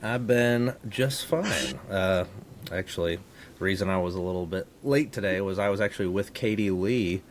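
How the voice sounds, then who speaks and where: A man's voice: low voice, moderate pace, a little hiss or hum. A man talking casually as a guest co-host on a chat show.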